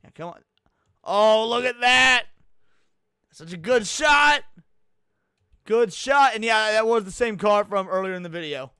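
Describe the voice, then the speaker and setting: A man giving casual, slangy live reaction commentary as a streamer, excitable and prone to swearing. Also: high voice, measured pace, pauses often, clear, resonant tone, dry recording, clean studio sound. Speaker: streamer reacting to horror videos.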